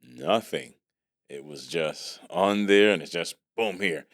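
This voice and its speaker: A middle-aged man from Barbados hosting a podcast in casual, animated chat, repeating words and laughing often. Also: low-pitched, takes it slowly, creaky, dry recording, studio quality. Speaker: podcast host.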